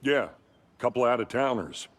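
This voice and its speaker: Male actor as bumbling small-town deputy, medium pitch, folksy, hesitant, rambling, eager to please, video game dialogue. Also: lively intonation, normal speed, close to an everyday voice. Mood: folksy, awkward.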